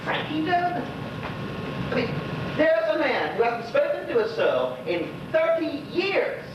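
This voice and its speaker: A man's voice: very high voice, big pitch swings, unhurried, exaggerated voice. Lonely old Bermudian widower, played by a male actor: folksy stage gossip, swooping delivery, distant and heavily echoing recording. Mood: amazed. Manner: rambling, exclaiming, talking to unseen listeners. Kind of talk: stage monologue in dialect. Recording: very echoey stage, distant mic, noisy, dull.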